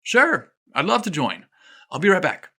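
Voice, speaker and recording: A man's voice: high voice, big pitch swings, unhurried, bright, forceful tone, voice pushed up, exaggerated voice. A man voicing an anxious, eager young male golf pro in an audio story, fretting with questions. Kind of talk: audio story character acting.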